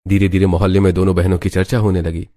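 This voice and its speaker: A man's voice: low-pitched, flat delivery, fast talker, mellow, faint music behind. A man reading scripted narration for a cartoon family story.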